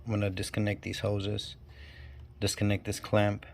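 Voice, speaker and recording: A man with a stuffy, nasal cold talking casually and haltingly while working on a car in his garage. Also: on the low side, completely flat delivery, vocal fry, a little mellow, a little hiss or hum. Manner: talks while working, halting and self-deprecating. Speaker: channel host. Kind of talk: casual DIY garage walkthrough. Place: home garage.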